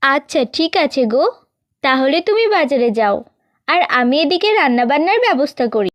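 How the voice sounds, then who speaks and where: Woman doing sweet earnest village wife for a cartoon, really high gentle voice. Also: animated, moderate pace, no room sound. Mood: contented, affectionate. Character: sweet, contented young village wife, devoted older sister. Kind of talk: scripted cartoon dubbing.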